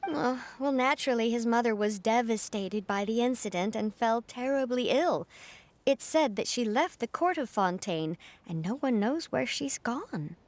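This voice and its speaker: A woman's voice: fairly high pitch, animated, normal speed, dry recording. A woman playing a concerned, informative young woman in a video game, polite and earnest as she explains things. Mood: serious, informative.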